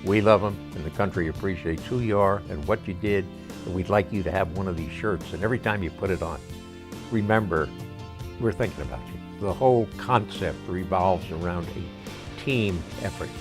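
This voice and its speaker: A man in his eighties telling a story in a relaxed documentary interview. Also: sits low, moderate pace, music in the background. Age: 80s. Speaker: veteran telling the group's story.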